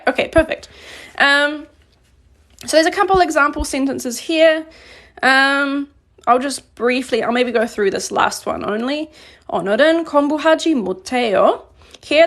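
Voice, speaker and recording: Woman teaching grammar, halting ums. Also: fairly high pitch. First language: English. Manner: halting, thinking aloud with frequent ums. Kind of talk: live-streamed grammar lesson.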